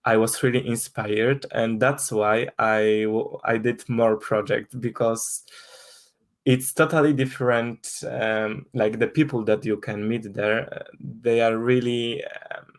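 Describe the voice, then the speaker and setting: A man's voice: mid-range voice, fairly even intonation, takes it slowly. A young man from Poland, speaking English with a Polish accent as a guest in an interview, hesitant with many restarts and fillers. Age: young adult. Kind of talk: casual interview talk.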